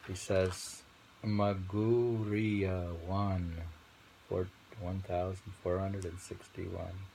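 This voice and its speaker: Slow, low-pitched man reading poetry. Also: level tone, gravelly, dark tone, slight background noise, poor audio. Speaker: reader and commentator of poetry. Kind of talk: reading poetry aloud with informal commentary.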